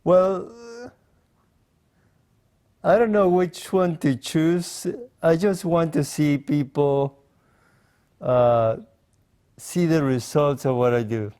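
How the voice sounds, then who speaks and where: A man in his forties from Mexico, speaking English as an interview guest, in a slow, halting way with many pauses and fillers. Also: a little high, fairly even intonation, dark tone, clear, resonant tone. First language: Spanish. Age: 40s. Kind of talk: casual interview conversation.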